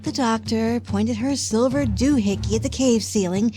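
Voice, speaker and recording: A woman's voice: a little high, normal speed, over music, natural voice. A woman playing a sassy, easily flustered young female companion who narrates an audio drama adventure in casual, colloquial words. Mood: dramatic narrating.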